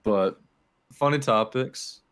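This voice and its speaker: A man's voice: mid-range voice, slow pace, fairly bright tone, smooth, dry recording. A young man co-hosting a casual podcast, teasing and joking with his friend and swearing casually. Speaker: podcast co-host. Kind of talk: casual banter.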